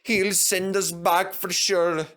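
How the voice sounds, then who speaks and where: A man voicing an excitable, earnest and blunt twin steam engine in a children's story. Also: high voice, takes it slowly, voice pushed up. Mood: worried.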